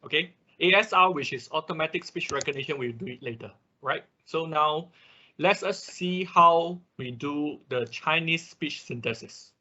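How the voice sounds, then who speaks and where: Bright-voiced man teaching, dull call audio. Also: fairly high pitch, normal speed. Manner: frequent confirming tags like "right" and "okay". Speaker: instructor leading an online robotics class. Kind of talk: casual step-by-step technical explanation. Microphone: video call.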